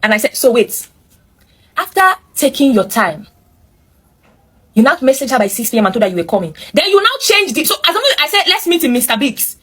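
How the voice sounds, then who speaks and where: A woman's voice: high voice, big pitch swings, brisk, fairly bright tone, slightly rough. A woman with a Nigerian accent telling a story as host, emphatic and opinionated, breaking in with commentary addressed straight to listeners. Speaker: host reading and reacting to a viewer's story. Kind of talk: animated storytelling with running commentary.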